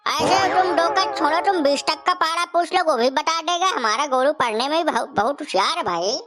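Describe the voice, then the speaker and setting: Woman playing a bright, high-pitched cheeky village fellow. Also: fairly quick. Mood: protesting. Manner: taunting, colloquial, insistent. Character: cheeky, argumentative younger village man, teasing and insistent. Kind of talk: comic cartoon dialogue.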